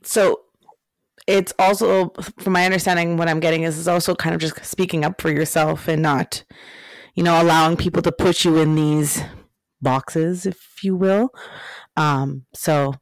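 Brief: podcast host, mid-pitched, affirming, measured questions, dry close sound. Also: steady tone, no room sound, low-quality recording. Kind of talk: interviewing a guest.

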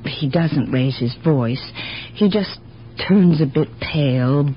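An actress playing a kindly, scatterbrained elderly aunt in a radio drama, chatty and a little hesitant. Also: female voice, deep voice, slow pace, faint music behind. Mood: thoughtful, recalling. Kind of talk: 1970s radio drama acting. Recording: dull, faintly noisy 1970s radio recording.

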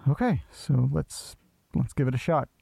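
A man's voice: medium pitch, moderate pace, creaky, mellow, breathy edge, dry recording. An actor playing an uneasy man talking to himself in an audio drama. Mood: hesitant, resolved.

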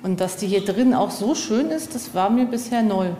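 A woman's voice: medium pitch, animated, moderate pace, dark tone. A woman guest chatting informally and easily on location, thinking aloud as she goes. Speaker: author, podcast guest. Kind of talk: casual chat on location. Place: on a castle visit. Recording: location recording with background ambience.

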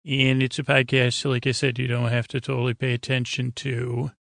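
An American man hosting a sleep podcast, rambling in a low-key, halting way and trailing off mid-thought. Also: little pitch movement, gravelly, a bit bright, no room sound, clean studio sound. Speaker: podcast host.

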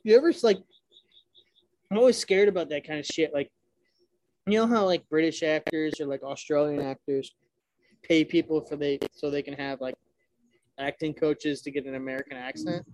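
A young man with a slightly raised pitch, talking loosely, cursing and breaking into laughter between stories. His voice sounds dry and close, with no room around it. He comes across as a casual podcast co-host. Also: moderate pace, pauses often, a little mellow, dry recording, rough recording. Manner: rambling, laughs often, swears freely. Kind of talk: casual chat.

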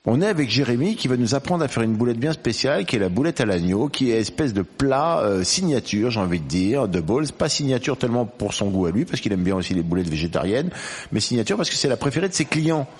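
A male host with a slightly husky, average-pitched voice. He rambles and teases as he chats casually with a guest cook. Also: sing-song, fast talker. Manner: teasing, rambling, playful. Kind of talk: casual, joking chat. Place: indoors, in his own home kitchen.

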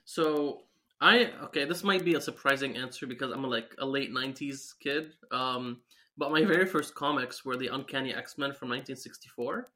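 A young man in his twenties who grew up in Syria speaks as a podcast guest. His voice is bright and forceful, sitting in the middle of the male range. He talks eagerly and often breaks into a light laugh. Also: mid-range voice, moderate pace. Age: 20s. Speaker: guest comic creator. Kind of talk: casual interview chat.

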